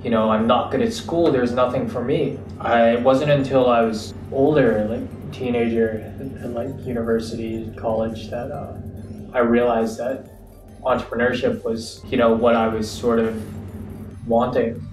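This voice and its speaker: A young American man telling his story as an interview guest, relaxed and reflective, with frequent fillers. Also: medium pitch, a little slow, faint music behind. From United States. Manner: relaxed, reflective, many fillers like "you know". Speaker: guest, entrepreneur. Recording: roomy, distant mic, faint ambience.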